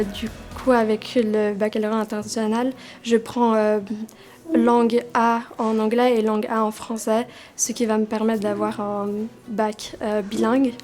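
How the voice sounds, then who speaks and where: A woman's voice: a little high, a little mellow. A teenage girl student speaking with some hesitation and fillers. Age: teens. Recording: music bed underneath.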